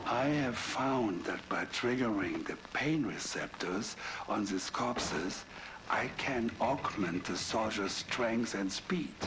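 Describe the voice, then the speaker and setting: An actor with a German accent playing an obsessed, sadistic middle-aged Nazi scientist in a video game cutscene, theatrical, grandiose and menacing. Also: male voice, medium pitch, background noise.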